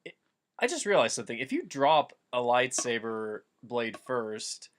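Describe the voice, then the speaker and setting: A man with a mid-pitched voice reads fan fiction aloud as a host. He moves between storybook-like narration and casual riffing commentary in an everyday conversational way. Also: very animated, a little slow, fairly bright tone, slight background noise.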